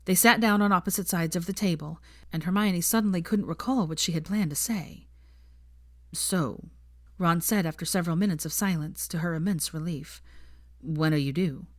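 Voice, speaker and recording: Low-voiced woman reading a story. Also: a little fast, few pauses, no room sound, studio quality. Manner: steady storytelling read. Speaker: fanfiction narrator. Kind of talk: scripted narration.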